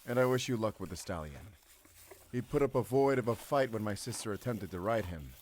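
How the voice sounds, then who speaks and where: Cranky low-voiced villain brother played by a man. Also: animated, brisk, close to an everyday voice. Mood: gruff, formal. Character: cranky, menacing older brother, fantasy villain. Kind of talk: audio roleplay acting. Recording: clearly noisy background.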